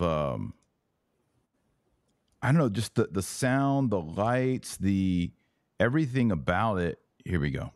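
A man's voice: on the low side, dry recording, studio quality. A man hosting a podcast interview, chatting informally and thinking aloud as he goes. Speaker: podcast host. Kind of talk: casual interview chat.

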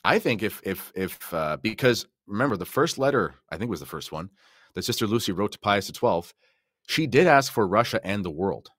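A man's voice: on the low side, animated, moderate pace, a bit bright, no room sound, clean studio sound. A man talking casually and emphatically as he explains religious material on a podcast. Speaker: podcast guest discussing religion. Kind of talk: casual explanatory talk with slides and notes.

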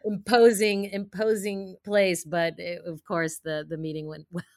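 A woman's voice: fairly low pitch, flat delivery, unhurried, a little mellow, no room sound, clean studio sound. A woman hosting an interview, asking friendly, encouraging questions. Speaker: podcast host, trade magazine editor. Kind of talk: conversational interview.

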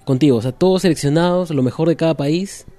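Interviewer, slightly high mellow voice, dry close sound. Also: male voice, fast, no echo. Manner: conversational, leading questions. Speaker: interviewer host. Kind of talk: casual interview chat.

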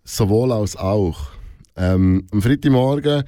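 A man's voice: fairly low pitch, slow, dark tone, no room sound, clean studio sound. A man with a Swiss German accent answers interview questions casually as a radio host, often rephrasing and repeating words as he searches for them.

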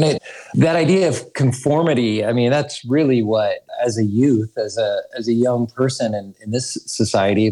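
A man's voice: medium pitch, warm tone, rough recording. A man raised in Idaho, guest on a podcast, speaking earnestly and thoughtfully with frequent restarts. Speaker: school research director, guest. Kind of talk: podcast interview.

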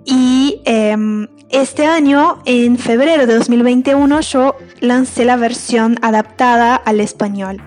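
A woman from Brazil with a Brazilian Portuguese accent, an author talking about her writing in a slow, thoughtful way with frequent pauses and fillers. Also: a little high, a little mellow. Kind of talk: interview, self-introduction and reading.

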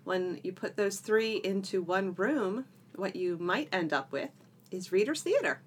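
A woman's voice: medium pitch, animated, a little slow, a little hiss or hum. A middle-aged woman co-hosting a podcast in a casual, chatty style with a playful, bantering manner. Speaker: podcast co-host.

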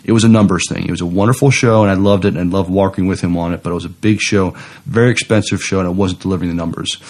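Low-pitched podcast co-host. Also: male voice, fairly even intonation, rapid-fire. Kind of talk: casual news commentary, some reading aloud.

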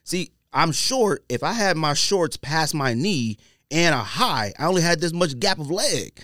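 A man chatting casually and opinionatedly on a podcast, using relaxed slang. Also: on the high side, a little slow, no room sound, studio quality. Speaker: podcast host. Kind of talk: casual sneaker podcast chat.

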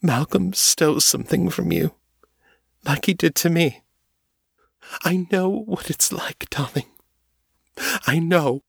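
A man voicing a gentle, devoted undead woman who tenderly calls her friend darling. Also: lowish voice, measured pace, husky, no echo, clean studio sound. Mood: tearful. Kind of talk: audiobook character voice.

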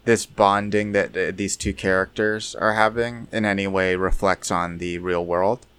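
A man in an improvised comic discussion, talking in a skeptical, halting, argumentative way. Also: sits low, fairly even intonation, a bit bright, clear, resonant tone.

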